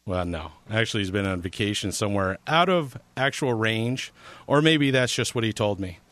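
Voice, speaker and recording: A man's voice: on the low side, lively intonation, normal speed, fairly bright tone, no echo. A man filling in as a talk radio host, rambling casually with a sarcastic edge and frequent restarts.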